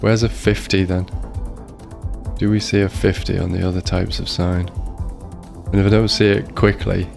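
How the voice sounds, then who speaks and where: Low gravelly man thinking aloud. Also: level tone, moderate pace, pauses often, darkish tone, soft background music. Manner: muttering thoughts aloud while searching. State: voice strained, maybe a cold coming on. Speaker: streamer playing a geography game. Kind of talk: casual gameplay commentary.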